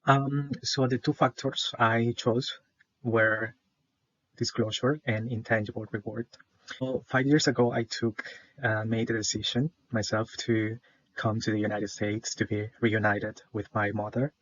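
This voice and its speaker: Shy young salvadoran guy presenting for class, flat voice, lots of uhs, dull dry recording. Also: fairly low pitch, measured pace, dry recording. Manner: hesitant, many fillers, shy. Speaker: student presenter.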